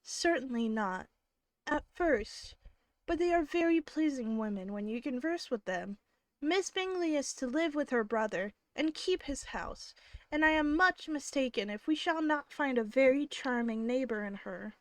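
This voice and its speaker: A woman voicing a gentle, kind young woman who speaks softly and sincerely, while reading a classic novel aloud. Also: high voice, lively intonation, unhurried, no room sound. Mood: earnest.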